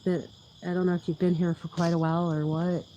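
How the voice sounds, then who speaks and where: A woman's voice: on the low side, level tone, fairly quick, warm tone, dull audio, slight background noise. A woman hosting a livestream in casual, rambling chat, with a soothing voice, laughing often and losing her train of thought. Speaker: YouTube livestream host.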